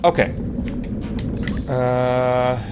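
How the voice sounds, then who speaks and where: A man's voice: medium pitch, flat delivery, extremely slow, heavy background noise. A middle-aged man livestreaming a game, chatting casually and rambling as he thinks aloud. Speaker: livestream host and gamer. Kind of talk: casual chat while playing a game.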